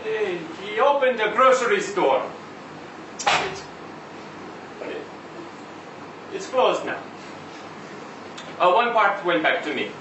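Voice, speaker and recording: Man acting a high-pitched, weary truck driver, echoey room. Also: very animated, heavily acted. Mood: weary, resigned. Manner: halting, rambling, foul-mouthed, repeatedly seeking agreement. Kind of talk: live dramatic monologue reading of a short story. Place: live literary event in an indoor venue. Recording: echoey room, distant mic, faint noise.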